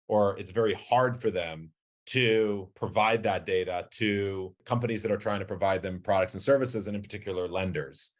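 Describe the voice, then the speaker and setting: A middle-aged man giving measured, articulate explanatory answers as an executive guest in a business interview. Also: on the low side. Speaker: company chief executive, podcast guest.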